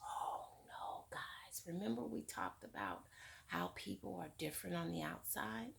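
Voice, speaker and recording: A woman teacher reading a picture book to children, pausing for hushed, whispery asides and questions. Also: on the low side, sing-song, creaky. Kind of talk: read-aloud for children.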